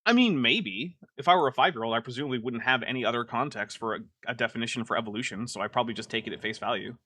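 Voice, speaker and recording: A man's voice: medium pitch, rapid-fire, pushed and bright, no echo, studio quality. A man hosting a live stream, reacting to a video in casual, exasperated commentary full of "like" fillers. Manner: chatty and exasperated, many "like" fillers.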